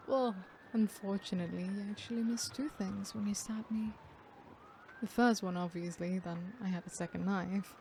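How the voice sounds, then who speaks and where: A woman playing a sadistic, smug female serial killer in an ASMR horror roleplay, theatrical and unhurried, often dropping into breathy whispers. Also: mid-range voice, mellow, slight background noise.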